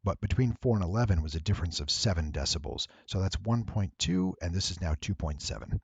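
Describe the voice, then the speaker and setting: A man narrating a tutorial, explaining things step by step in a deliberate, emphatic way. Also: sits low, animated, husky, no echo, highs cut off. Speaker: voice actor giving an audio editing tutorial. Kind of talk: explanatory screen-recording narration.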